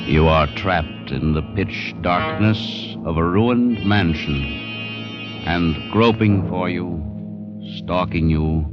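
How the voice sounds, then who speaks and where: Casting: menacing thriller narrator, bottomless pitch, slow, ominous, music clearly behind. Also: male voice. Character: sinister, menacing teller of next week's thriller. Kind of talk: old-time radio thriller teaser. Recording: muffled vintage radio recording.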